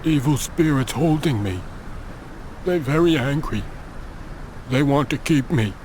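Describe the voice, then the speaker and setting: A man's voice: mid-range voice, expressive, measured pace, darkish tone, slight background noise, close to an everyday voice. An actor playing a superstitious, simple-minded and pitiful man in a video game, pleading in broken English. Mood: frightened pleading.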